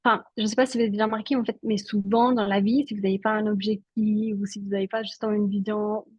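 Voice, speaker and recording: A woman's voice: medium pitch, level tone, fast talker, husky, dry recording, lo-fi sound. A woman from Switzerland whose first language is Italian, speaking as an interview guest in a chatty way, with many filler words. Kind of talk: casual interview talk.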